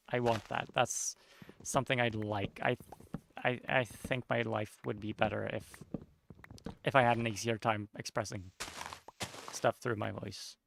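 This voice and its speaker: A young man with a Norwegian accent, chatting casually while streaming a game, somewhat monotone and mumbling with unclear diction. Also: medium pitch, creaky, noisy background. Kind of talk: casual chat while gaming.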